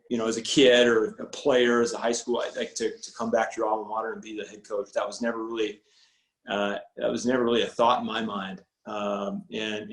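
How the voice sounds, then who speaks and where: A man in his forties, a high school football coach, talking casually on a video call, rambling with many restarts and fillers. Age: 40s. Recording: roomy echo on video call audio.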